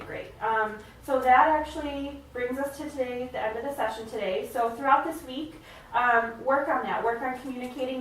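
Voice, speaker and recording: A woman's voice: a little high, close to an everyday voice. A woman playing an encouraging, careful family therapist in a role-played session, speaking hesitantly and affirmingly. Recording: very echoey room, distant mic, faint noise.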